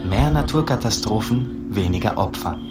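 A coolly analytical male investor, played by an actor, with a low voice. He explains his strategy in a scripted radio-art monologue. Loud music sits behind him. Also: expressive, moderate pace, natural voice.

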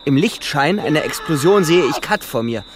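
An actor playing a distraught, excitable young German soldier at the front in a radio play, speaking with urgency. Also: male voice, fairly high pitch, normal speed, close to an everyday voice. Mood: urgent, tense. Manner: urgent, agitated. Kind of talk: impassioned radio play acting. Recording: noisy battlefield effects behind the voice. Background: battle noise.

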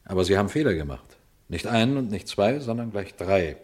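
Male detective, low register, composed and dryly ironic, radio crime drama. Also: expressive, fairly quick, husky. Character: male police detective, calm, shrewd, quietly triumphant. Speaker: detective narrating his own case. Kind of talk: German radio crime drama acting and narration.

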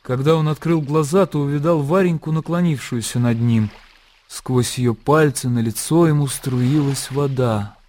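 A man giving a theatrical, expressive narration of a literary radio play. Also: medium pitch, moderate pace, slight background noise. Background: music.

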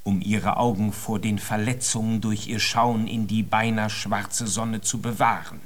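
An actor in a German radio drama playing an earnest, deferential young musician who speaks formally and courteously. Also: male voice, fairly low pitch, fairly even intonation, unhurried, close to an everyday voice. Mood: careful, coaxing.

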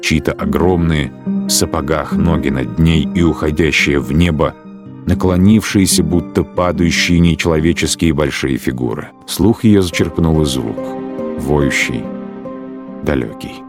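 A man narrating a radio drama in a measured, sombre literary style. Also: extremely low pitch. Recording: music bed under narration.

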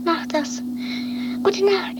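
A woman's voice: very high-pitched, fairly even intonation, slow pace, heavy background noise. A woman in an amateur radio play voicing a distressed, pleading climber, plaintive and repeating phrases. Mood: weary. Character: distressed, pleading climber, emotional and easily exhausted. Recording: homemade radio play under loud music.

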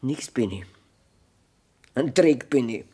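An actress with a Bavarian accent playing a wronged, plain-spoken, middle-aged farmer's wife, worn down yet defiant, in a radio drama. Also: female voice, extremely low pitch, lively intonation, takes it slowly, mellow, slightly rough, full character voice. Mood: weary, despairing. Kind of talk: German dialect radio drama acting.

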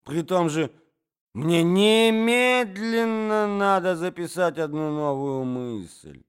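A man's voice: sits high, lively intonation, slow pace, clear, resonant tone, voice pushed up. A man doing a doddering forgetful old prince. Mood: muddled. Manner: muddled, bewildered.

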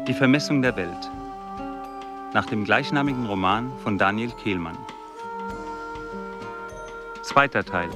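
A man's voice: lowish voice, measured pace, long pauses. A man announcing a radio play in a scripted style, reading titles and credits. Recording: loud music under the voice. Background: loud music, ticking.

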